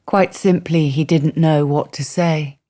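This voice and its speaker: A woman's voice: deep voice, fairly even intonation, unhurried, mellow. A woman delivering scripted narration for a radio drama. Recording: faint music underneath.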